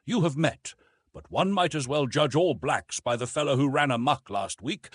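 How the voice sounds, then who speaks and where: A man voicing a fearless jungle-raised man in an audiobook, speaking in a dry, measured, self-assured way. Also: medium pitch, fairly bright tone, slightly rough, dry recording, voice pushed up. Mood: dry, confident. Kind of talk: audiobook character dialogue.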